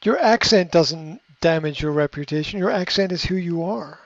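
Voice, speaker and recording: A man speaking in a fairly high voice, coaching in an unscripted, reflective way. He pauses with fillers and restarts sentences as he thinks. The recording is dry and a little muffled. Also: no room sound. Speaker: coach answering a question. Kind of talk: unscripted advice talk.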